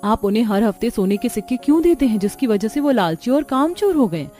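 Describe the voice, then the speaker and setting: A woman's voice: a little high, big pitch swings, fairly quick, dark tone, soft background music. A woman voicing an earnest, worried young daughter-in-law in a cartoon family story. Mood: earnest, concerned. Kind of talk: cartoon voice acting.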